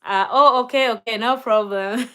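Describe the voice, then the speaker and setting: A woman's voice: fairly high pitch, moderate pace, fairly bright tone, smooth. A woman from Kenya with a Kenyan accent, chatting on a live stream, reading out viewer comments, with many fillers and easy laughs; Swahili and Luo are her first languages. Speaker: livestreamer.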